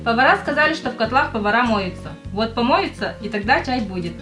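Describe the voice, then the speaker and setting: Woman playing a higher-voiced naive army girl. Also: lively intonation, quick pace, pushed and bright, faint music behind. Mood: naive, storytelling. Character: young female army recruit recalling wartime mishaps. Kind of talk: amateur audio play monologue.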